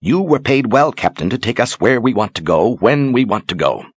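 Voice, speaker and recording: A man voicing an imperious, impatient sorceress who gives sharp, clipped orders. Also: medium pitch, very animated, normal speed, slightly rough, no room sound, pitched above the performer's own voice. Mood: commanding.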